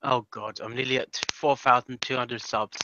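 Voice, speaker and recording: A man's voice: medium pitch, moderate pace, highs cut off, noisy background. A British man co-hosting a livestream, joking and chatting with the viewers. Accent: British English. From Britain. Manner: animated, joking, talks to the chat. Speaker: livestream co-host. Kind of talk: casual chat.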